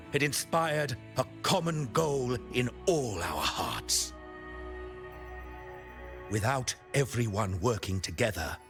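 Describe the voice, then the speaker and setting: Lofty low-voiced cleric, dramatically swelling. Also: male voice, fairly bright tone, heavily acted. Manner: formal, measured, preachy. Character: pious, lofty high-ranking male cleric of a religious order. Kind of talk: theatrical video game voice acting. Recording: music behind the voice.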